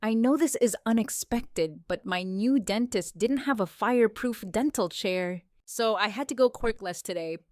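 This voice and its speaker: A woman voicing a brash, sharp-tongued mother in a comic dub, blunt and sardonic. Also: medium pitch, moderate pace, few pauses, no room sound, studio quality, natural voice. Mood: casual.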